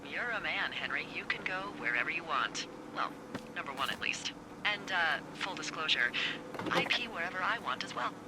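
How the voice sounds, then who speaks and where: An actress playing a wry, easygoing American fire lookout supervisor in a video game, talking conversationally and dryly over a walkie-talkie. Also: female voice, lowish voice, animated, normal speed. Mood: playful teasing. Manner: dry, teasing, conversational. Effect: walkie-talkie radio. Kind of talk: naturalistic video game dialogue. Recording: noisy radio with ambience.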